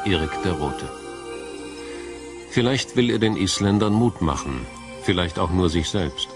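A man reading a scripted, dramatic documentary narration in a measured, solemn storytelling manner. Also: low-pitched.

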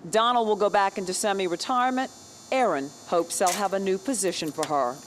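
A woman reporting live for a local TV news station, her delivery polished and measured. She speaks into a handheld mic inside a large convention hall, with faint ambient noise behind her. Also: medium pitch.

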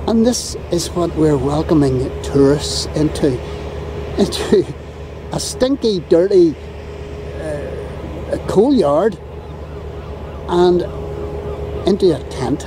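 Slow, sarcastic Northern Irish man ranting. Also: on the high side. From Northern Ireland. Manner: exasperated, sarcastic, drawn-out complaints. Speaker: vlogger filming the harbour. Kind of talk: ranting handheld commentary. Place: outdoors on a windy harbour quay. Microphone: camera mic while filming. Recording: outdoor camera audio with steady wind and traffic noise.

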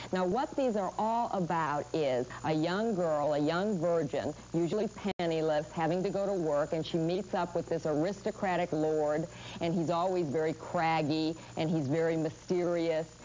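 A woman talks through her points casually. Her voice is notably low and her manner conversational and wry. The recording is an old videotape with faint noise. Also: darkish tone. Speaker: media critic presenter. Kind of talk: casual explanatory commentary. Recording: noisy old 1980s videotape.

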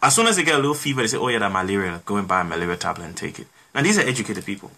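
A young man speaks as a guest in a small home studio, his mid-pitched voice bright and husky. He talks through his point with everyday comparisons and builds it with repeated phrases, close to a desk microphone. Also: expressive, rapid-fire. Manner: explains with everyday examples, builds points by repetition. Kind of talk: explanatory conversation.

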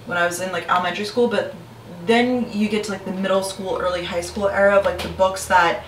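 A woman's voice: mid-range voice, steady tone, quick pace. A young woman in her twenties chatting casually to the camera as a lifestyle vlogger, full of "like" fillers and upbeat asides. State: slightly hoarse. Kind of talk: casual vlog chat to camera. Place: apartment kitchen, indoors. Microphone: camera on counter, some distance. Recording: roomy apartment echo, distant camera mic, background hum.

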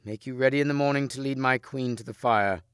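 A man's voice: mid-range voice, brisk, smooth, no room sound, studio quality, voice pushed up, natural voice. A man voicing a noble, stern and grieving king in a grave, declamatory manner for a story.

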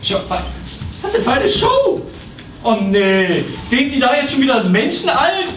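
An actor playing a frantic, incredulous and exasperated psychotherapist in a live comedy play. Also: male voice, big pitch swings, unhurried, full character voice. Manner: incredulous, shrill complaining. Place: small theatre stage. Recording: echoey stage, distant, noisy.

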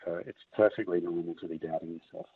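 A man speaks to students from his home study over a webcam, relaxed and full of hesitations. His voice is very deep and husky. The sound is thin and phone-like, caught from a distance from the mic. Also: quick pace, no room sound. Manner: relaxed, hesitant, filler-heavy. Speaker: scientist giving a talk. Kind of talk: online forum talk and Q&A. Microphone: webcam on a video call. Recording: tinny phone-like video call audio from off the mic.

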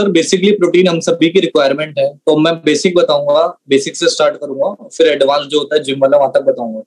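A man's voice: a little high, rough recording. A young man explaining as a trainer on an online session, mixing in many English words. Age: young adult. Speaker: trainer answering product questions.

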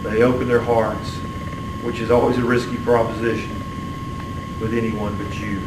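Middle-aged man, preacher, earnest halting delivery, even pitch, clear background hum. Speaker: Baptist preacher. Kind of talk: sermon and closing prayer. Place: small church sanctuary, at the pulpit. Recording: roomy, distant mic, steady room hum.

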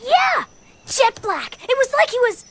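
A little cartoon squirrel with a very high, squeaky voice. It chatters eagerly and excitably, its pitch bouncing around. The soundtrack behind is very noisy. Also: female voice, unhurried, heavily acted. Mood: excited. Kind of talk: English-dubbed cartoon acting.

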